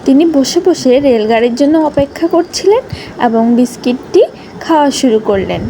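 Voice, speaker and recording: A woman's voice: high-pitched, expressive, normal speed, dark tone, soft background music, rough recording. A woman narrating a scripted story for young children as a storyteller.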